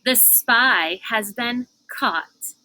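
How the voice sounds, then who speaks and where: A woman's voice: fairly high pitch, drawn-out words, bright, forceful tone, full character voice, poor audio. A woman voicing a smug, brash, gossipy girl bully in a storybook read-aloud. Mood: gloating. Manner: brash, chatty, self-satisfied. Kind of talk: storybook character voice.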